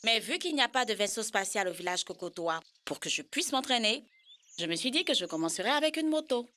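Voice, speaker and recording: A woman's voice: a little high, brisk. A woman voicing a spirited, earnest young girl in a children's cartoon. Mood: eager. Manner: earnest, expressive. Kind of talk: French-language children's cartoon dub.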